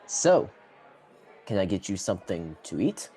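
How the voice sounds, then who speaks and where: Teen actor as a protective fantasy tavern keeper. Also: male voice, low-pitched, very animated, unhurried, dark tone, some chatter behind. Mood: welcoming. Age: teens. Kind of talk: student radio theatre acting.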